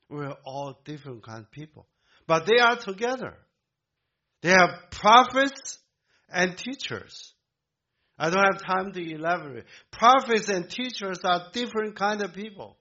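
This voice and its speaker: A man born in Korea, preaching a sermon to a congregation in an emphatic way, with halting restarts. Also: a little high, sharp tone, muffled recording. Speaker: pastor.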